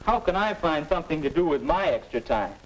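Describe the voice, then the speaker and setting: A man's voice: fairly high pitch, sing-song, a little fast. A man playing a restless, doubting American teenage boy in a 1950 educational film, earnest and stagey, on a noisy old soundtrack. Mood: puzzled, moping.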